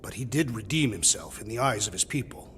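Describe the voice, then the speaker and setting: A man playing a solemn, honour-bound alien warrior in a video game cutscene, speaking gravely and formally. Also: fairly low pitch, animated, fairly quick, fairly bright tone, in a large hall, slight background noise. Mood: earnest, dignified. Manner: grave, formal, storytelling delivery without contractions.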